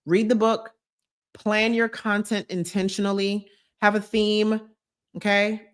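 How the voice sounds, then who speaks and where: A woman's voice: mid-range voice, level tone, a little slow. A young woman from New Jersey, a YouTube creator, chatting on a live stream and reading comments, emphatic and repeating her advice. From United States, New Jersey.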